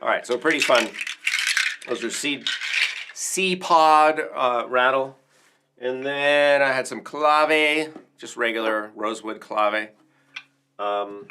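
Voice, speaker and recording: A man's voice: mid-range voice, bright, forceful tone, clean tone. A man hosting a casual livestream as a percussion teacher, talking through instruments in an informal, rambling, enthusiastic way.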